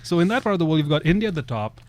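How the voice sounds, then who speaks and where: Joking, animated podcast host man. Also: on the high side, racing. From Bangladesh. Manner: joking, animated storytelling with frequent laughs. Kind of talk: casual comedic chat.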